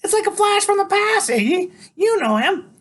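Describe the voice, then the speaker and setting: A man's voice: very high voice, very animated, moderate pace, fairly bright tone, voice pushed up, full character voice. A man voicing a squinting, nagging, fearful biker's girlfriend in a storybook, drawling slang out of the side of the mouth. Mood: insistent.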